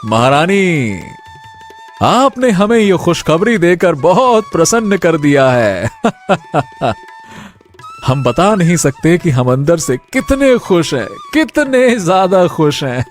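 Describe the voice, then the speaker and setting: An exuberant high-voiced jubilant king. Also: sing-song, takes it slowly, soft background music. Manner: exuberant, effusive, frequent laughter. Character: jubilant, generous king and proud expectant father. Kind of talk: Hindi cartoon story acting.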